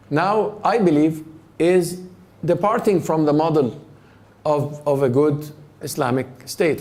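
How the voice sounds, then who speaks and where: A man's voice: on the high side, darkish tone. A man giving a public lecture and taking questions, thoughtful, often restarting his phrases. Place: lecture hall.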